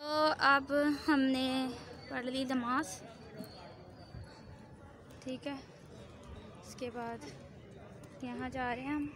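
A woman's voice: high voice, steady tone, extremely slow, pauses often. A young woman vlogger casually narrating a walking tour, often checking in with "theek hai" as she shows things around. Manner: chatty, keeps adding "theek hai" while showing around. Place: outdoors at a large mosque courtyard. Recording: outdoor phone recording with crowd and ambient noise.

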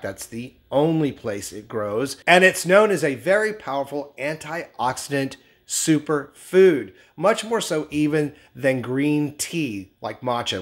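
A man in his thirties talks straight to the camera from his home kitchen, reviewing skincare products with bright enthusiasm. His voice sits slightly high for a man and carries a nasal, scratchy edge left over from a recent cold. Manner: upbeat, enthusiastic, addresses viewers directly. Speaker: skincare channel host. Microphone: camera at medium framing, seated facing lens.

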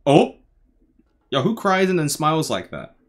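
A young man giving casual, slangy reaction commentary, musing aloud in a laid-back, tired, low-energy way. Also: wildly swooping pitch, unhurried. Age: young adult. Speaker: anime reaction host. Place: small bedroom, indoors.

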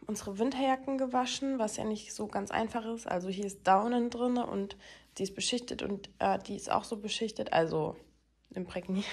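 A woman's voice: mid-range voice, darkish tone, breathy edge. A young woman chatting casually to the camera as a vlogger at home, rambling a little with fillers. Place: apartment living room and kitchen.

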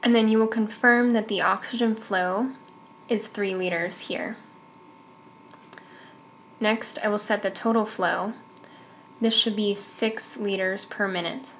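A woman delivers a step-by-step equipment tutorial in a measured, instructional manner. Her voice sits at a medium pitch, with some background noise and room ambience in the recording. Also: steady tone, fairly bright tone, muffled recording. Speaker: medical device training instructor.

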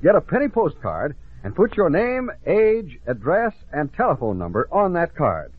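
A man's voice: a little high, huge pitch swings, moderate pace, slightly rough, narrow, tinny audio. An American man announcing on a 1950s children's radio show. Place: radio studio.

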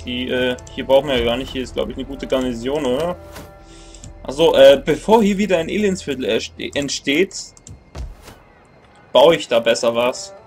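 A man's voice: mid-range voice, normal speed, a little mellow, faint music behind. A young man in his twenties casually commenting on a strategy game as he plays, thinking aloud, grumbling and correcting himself. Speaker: let's play gamer. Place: small room indoors at a computer.

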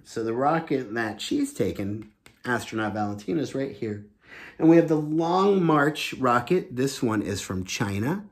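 A man in his thirties reading a children's book aloud, explaining it simply and enthusiastically in a room at home. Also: on the low side. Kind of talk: animated read-aloud storytelling with explanations. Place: small home room indoors.

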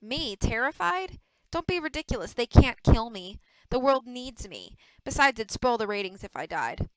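A woman's voice: on the high side, very animated, moderate pace, clean tone, no room sound, rough recording. Woman playing a cocky, teasing young male star. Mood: flippant teasing. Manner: flippant, scornful, boastful.

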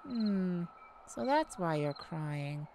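A woman's voice: on the low side, very animated, dark tone, slight background noise, exaggerated voice. A woman playing a haughty yet kindly goddess of light in an ASMR audio roleplay, theatrical and teasing. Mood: curious, lofty. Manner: theatrical, teasing, mock-offended, with pauses.

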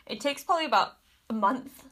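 A woman's voice: on the high side, animated, a little fast, fairly bright tone, rough recording. Congested Australian woman chatting about makeup. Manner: chatty, frank, often hedging with kind of and like. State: congested, stuffy nose. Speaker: beauty vlogger. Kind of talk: casual makeup review. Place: home bedroom vanity with mirror lights, indoors.

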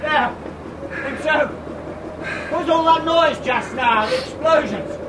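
A scared trapped scientist man, slow halting pleading, loud noise behind him. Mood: wary. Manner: halting, repeats words, pleading. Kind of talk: radio drama acting. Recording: distant, echoey, with loud rumbling noise behind.

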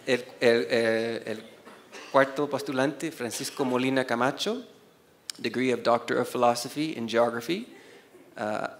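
A non-native man in his forties reads out award nominees at a slow, unhurried pace. He hesitates, fills pauses and restarts words as he stumbles over names. His voice is picked up by a desk microphone at a panel table in an auditorium. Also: mid-range voice, slight background noise. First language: not Spanish. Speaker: award presenter. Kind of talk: reading award nominees at a conference. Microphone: desk microphone on a stand.

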